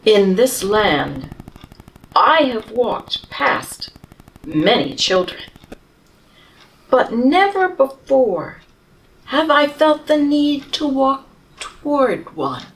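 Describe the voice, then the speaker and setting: A woman reading a children's storybook in the voice of a humble, tired male camel who tells his own tale slowly and tenderly. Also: sits high, far from the mic, a little hiss or hum, pitched above the performer's own voice, heavily acted, low-quality recording. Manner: slow, tender storybook telling with lively rises.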